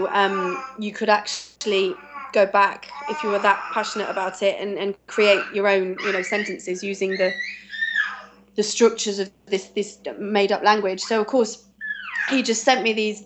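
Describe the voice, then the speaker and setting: English woman, interview guest, casual and rambling, mid-pitched. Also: a bit bright, a little hiss or hum. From England. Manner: rambling, many fillers and restarts.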